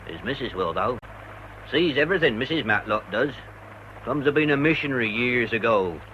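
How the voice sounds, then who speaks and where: An actor playing a blunt, grumbling English working-class senior verger in a British radio drama, speaking in clipped sentences. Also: male voice, medium pitch, expressive, normal speed, dull audio, slight background noise, close to an everyday voice.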